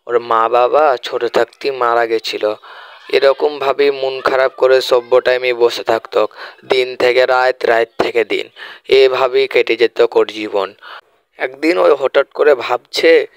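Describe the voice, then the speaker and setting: A man's voice: medium pitch, fairly even intonation, unhurried, clear, resonant tone, no echo, poor audio. A man narrating an amateur cartoon story in an informal, colloquial, repetitive storytelling manner. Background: faint music.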